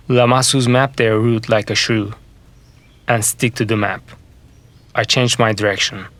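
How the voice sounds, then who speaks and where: A man narrating a scripted fantasy story in the first person, with measured, deliberate phrasing in short segments. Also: lowish voice, fairly bright tone, no room sound.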